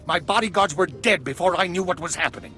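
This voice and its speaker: An actor playing an anxious, pleading diplomatic minister in a video game, agitated and dramatic. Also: male voice, high-pitched, fast talker, sharp tone, faint music behind. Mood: frightened pleading. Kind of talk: video game character dialogue.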